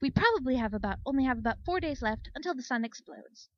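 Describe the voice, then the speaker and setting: A woman's voice: sits high, expressive, fast talker, no echo, muffled recording, pitched above the performer's own voice. A woman voicing a bookish, cheerfully oblivious unicorn mare inventor in a storybook, earnest and explanatory. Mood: matter-of-fact.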